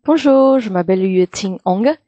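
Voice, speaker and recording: A woman's voice: mid-range voice, animated, normal speed, mellow, dry recording, dull audio. A young Taiwanese woman with Mandarin as her first language, talking hesitantly with many fillers as an interview guest. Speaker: guest actress and singer. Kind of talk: casual interview talk.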